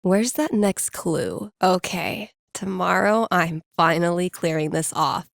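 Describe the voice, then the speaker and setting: A woman's voice: lowish voice, slow pace, vocal fry, dry recording, studio quality. An actress playing a wry, teasing young woman in a video game, talking casually with playful sarcasm. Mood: musing. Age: young adult. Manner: casual, sardonic, playful banter. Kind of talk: naturalistic video game voice acting.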